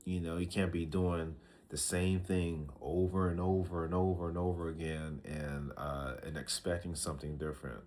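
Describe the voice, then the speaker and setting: A man in his early thirties with a very deep voice talks straight to a camera. He speaks slowly and reflectively, with many fillers and restarts. A faint hiss of noise sits under the recording. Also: level tone, darkish tone. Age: 30s. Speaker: vlogger. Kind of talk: casual talking-to-camera advice.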